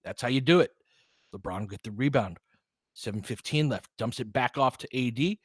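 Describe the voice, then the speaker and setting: An American man with a mid-pitched voice hosts a casual basketball stream. He talks conversationally and analytically, like someone explaining the game to a chat while calling it. The recording is dry, with very little room sound. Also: normal speed, slightly rough, dry recording. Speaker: basketball commentator and host of a live stream. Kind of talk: casual live play-by-play commentary with chat.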